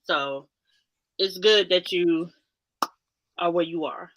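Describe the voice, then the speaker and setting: A woman's voice: on the low side, slow, dry recording, rough recording. A woman in her thirties joking casually in playful slang. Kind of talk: casual chat.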